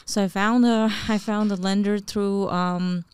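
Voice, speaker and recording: A woman's voice: mid-range voice, fairly even intonation, a little slow, a little mellow, clean tone, no echo. A woman in her forties, from Bangladesh and raised in New Jersey, chatting as an interview guest, rambling and laughing often. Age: 40s. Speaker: real estate investor guest. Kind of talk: casual interview chat.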